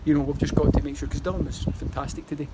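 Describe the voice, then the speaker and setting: A man's voice: on the high side, fairly even intonation, very fast, heavy background noise. A Scottish man in his thirties, a football manager giving a post-match interview outdoors, talking in rambling runs with fillers and restarts. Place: outdoors by a stadium wall.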